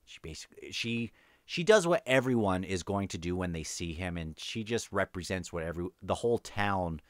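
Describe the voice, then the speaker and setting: A man's voice: deep voice, lively intonation, normal speed, dry recording, clean studio sound. A man hosting an unscripted read-along book discussion, thinking aloud in a hesitant, rambling way.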